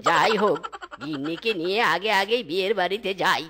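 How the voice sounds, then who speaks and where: A woman's voice: medium pitch, lively intonation, a little fast, gravelly, fairly bright tone, slight background noise. A woman voicing a stingy, self-important married animal uncle in a comic cartoon, blustering and scolding. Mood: brisk.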